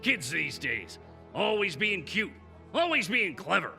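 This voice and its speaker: Man acting a gruff comic-dub newspaper boss, brash, gravelly, animated swooping delivery, emphatic. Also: sits high, unhurried, faint music behind, heavily acted. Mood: brisk, bantering. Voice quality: raspy. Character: blustery, gruff, loud newspaper boss with gruff fondness. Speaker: voice actor.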